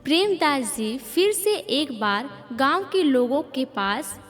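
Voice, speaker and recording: Female story narrator, scripted delivery, very high pitch, reverberant sound over background music. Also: sing-song, slow. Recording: long reverb, music behind.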